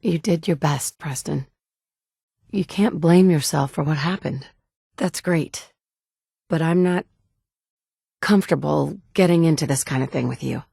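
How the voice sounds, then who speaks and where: A woman's voice: sits low, unhurried, vocal fry, pauses often, mellow, slightly rough, dry recording, heavily acted. An actress in her thirties playing a tough, wry woman survivor in a video game, talking in a blunt, matter-of-fact way from a studio booth. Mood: tender. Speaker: player character. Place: recording booth. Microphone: studio mic.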